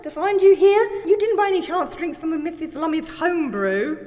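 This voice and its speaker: A woman's voice: very high voice, sing-song, fairly quick, mellow. A woman voicing a puzzled, plain-spoken rustic manservant with folksy speech in a comic gothic audio drama. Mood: puzzled concern. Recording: echoey reverberant room, faint music behind.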